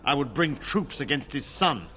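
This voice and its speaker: A man's voice: on the high side, very animated, normal speed, bright, forceful tone, slight background noise. An actor playing a middle-aged nobleman in a fantasy video game, a proud, grateful father who speaks formally and with emphasis. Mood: bitter anger.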